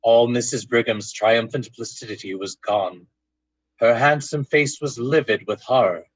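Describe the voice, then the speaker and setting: A man narrates a supernatural tale in vintage radio drama style. His voice is husky and medium in pitch. The sound is dry and a bit muted. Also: measured pace, no echo, lo-fi sound.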